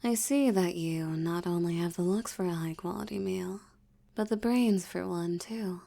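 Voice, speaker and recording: A woman playing a possessive, seductive vampire in an intimate audio roleplay, teasing and commanding, with a breathy voice that often drops toward a whisper. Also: on the low side, dark tone. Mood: smug, possessive. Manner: slow, teasing, commanding, condescending. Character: dominant, possessive, seductive wealthy female vampire.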